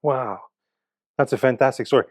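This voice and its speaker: A man's voice: medium pitch, moderate pace, a little mellow, no room sound. A man hosting a podcast, introducing his guest and asking questions in an upbeat, welcoming way. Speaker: podcast host.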